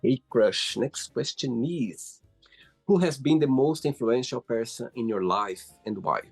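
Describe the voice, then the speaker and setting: Husky, creaky-voiced man hosting. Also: mid-range voice, lively intonation, unhurried, a little mellow, faint music behind. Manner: encouraging, frequent exclamations of interest. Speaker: interview show host. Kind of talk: casual interview hosting.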